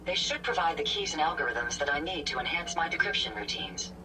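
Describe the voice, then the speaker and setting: A woman playing a polite, analytical female robot companion in a video game, speaking in formal, measured, precise phrases. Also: low-pitched, wildly swooping pitch, noisy background. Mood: calm, analytical. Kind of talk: scripted video game dialogue. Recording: distant sound with game music behind.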